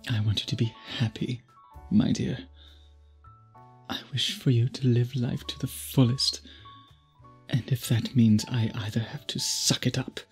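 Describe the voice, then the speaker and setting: A man's voice: mid-range voice, a little mellow, breathy edge. A man playing an obsessive, old-fashioned vampire suitor in an intimate ASMR roleplay, speaking in formal, archaic, possessive phrases. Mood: intense, devoted.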